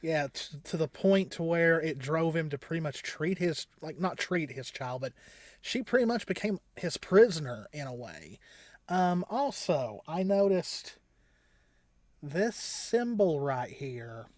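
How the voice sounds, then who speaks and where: A man with a slightly high voice hosts a casual reaction show. He talks in a halting way, working out his thoughts aloud, and the recording is fairly dry. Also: very animated, a little mellow, no echo.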